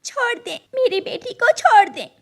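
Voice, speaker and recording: Cartoon woman playing a devoted mother bird, high-voiced, protective, full of pleading emotion. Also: very animated, normal speed, full character voice. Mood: desperate anger.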